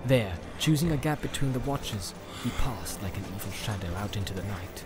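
A man's voice: on the low side, animated, moderate pace. Male audiobook narrator over music. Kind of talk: dramatic scripted narration. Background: music and sound effects.

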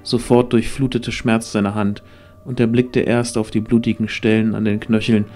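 A man narrating a scripted fantasy story in a measured, grave, dramatic way. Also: on the low side, little pitch movement, mellow, faint music behind.

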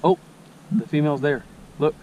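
A man's voice: a little high, normal speed, darkish tone, highs cut off. A man chatting casually while fishing, in a colloquial, folksy way, half muttering to himself and to the fish. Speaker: angler filming a fishing outing. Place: outdoors on a grassy pond bank. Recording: noisy outdoor action camera with insect buzz.

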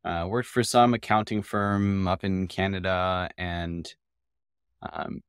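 A man hosting a podcast in a casual interview style, halting and now and then stuttering. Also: low-pitched, fairly even intonation, smooth, no echo, clean studio sound. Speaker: podcast host.

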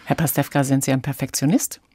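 A woman's voice: very deep voice, steady tone, quick pace, dark tone, breathy edge, dry recording. A woman hosting a radio interview, putting conversational questions to her guest in a studio.

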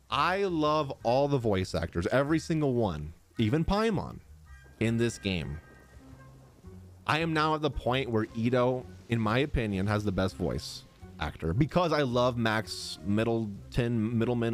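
A man in his thirties giving casual, slangy, emphatic reaction commentary as a game streamer, close on a desk microphone. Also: mid-range voice. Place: small room with streaming setup. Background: faint game music.

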